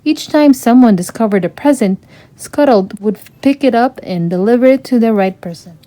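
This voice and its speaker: A woman's voice: on the high side, animated, normal speed, dark tone, no room sound. A woman narrating a children's story, reading aloud from a storybook.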